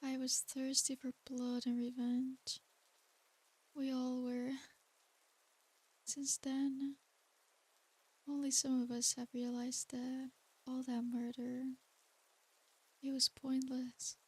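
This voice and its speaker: An ancient female demon, acted by a woman, murmurs in a soft ASMR roleplay. Her voice is high and breathy, with an almost flat, unhurried melody. She is tender, doting and seductive, soothing the listener as if at bedtime. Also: exaggerated voice, low-quality recording. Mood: reflective, gentle. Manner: slow, intimate, soothing bedtime delivery.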